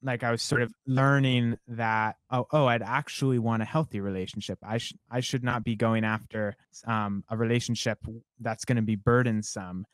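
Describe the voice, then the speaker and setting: Smooth-voiced young man musing reflectively. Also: medium pitch, fairly even intonation, normal speed, few pauses, dry recording. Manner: reflective, rambling with many "like" fillers. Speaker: songwriter guest. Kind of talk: casual interview conversation.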